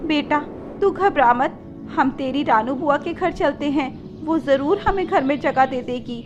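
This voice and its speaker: A woman voicing an earnest, gentle mother bird who pleads for help in a cartoon. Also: high-pitched, measured pace, muffled recording, music in the background, pitched above the performer's own voice. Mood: consoling, worried.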